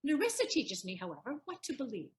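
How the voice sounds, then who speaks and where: A woman playing a proud, sharp-witted wife in a Shakespearean audio play, teasingly indignant in declamatory verse. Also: sits high, huge pitch swings, fast. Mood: indignant, accusing.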